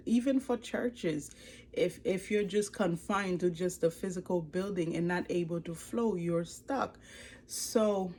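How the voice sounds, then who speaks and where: Expressive Haitian woman with a low voice. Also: a little hiss or hum. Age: 40s. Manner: very expressive, earnest and encouraging. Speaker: life coach and ministry leader. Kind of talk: personal testimony to camera. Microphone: speaking to a camera.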